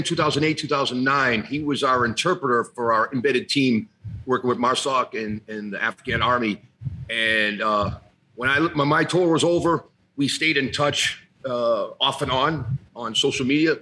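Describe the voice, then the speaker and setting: A man co-hosting a podcast, speaking in an emotional, halting way about an old comrade. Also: mid-range voice, bright, forceful tone, breathy edge, rough recording. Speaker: podcast co-host. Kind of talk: conversational tribute.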